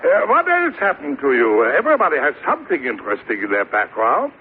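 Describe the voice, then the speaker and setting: A chummy comic psychiatrist who flusters easily. His voice sits fairly high and leaps all over in a sing-song, fussy way. It is an old radio sitcom, thin and noisy, with audience sound behind. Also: huge pitch swings, brisk, phone-quality sound. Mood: coaxing, flustered. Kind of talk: 1940s-50s radio sitcom acting.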